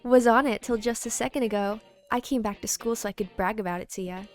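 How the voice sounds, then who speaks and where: A woman's voice: a little high, quick pace, soft background music, lightly acted. A woman voicing a cocky, teasing teenage boy, a loyal best friend in a game, with brash, slangy delivery. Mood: bragging.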